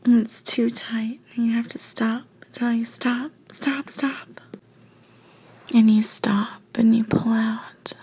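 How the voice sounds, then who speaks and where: A woman narrating intimate, sensual first-person erotic audio for adults in a hushed, breathy whisper. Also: on the high side, monotone, low-quality recording. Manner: hushed, slow, sensual delivery.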